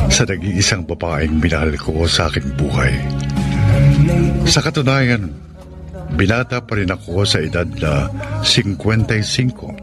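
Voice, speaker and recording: An actor playing a proud, devoted middle-aged father, an ice cream vendor, in an earnest Tagalog radio drama style. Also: sits low.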